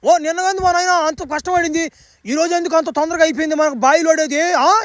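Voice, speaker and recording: A man's voice: extremely high pitch, racing. A man voicing a chatty, grumbling village labourer in a comic cartoon, rambling and questioning in a rural Telugu dialect. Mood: bantering, curious.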